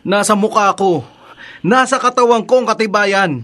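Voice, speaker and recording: A man's voice: high-pitched, moderate pace, bright, forceful tone. An actor playing a bruised young man who respectfully urges a police sergeant to help him. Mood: urgent.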